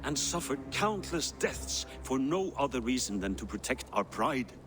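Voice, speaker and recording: An actor playing an old, deposed Norse king, a grieving and dignified father who speaks gravely and earnestly in a dramatic video game. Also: medium pitch, very animated, moderate pace, a bit bright. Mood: sorrowful, solemn. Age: older. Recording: music behind the voice.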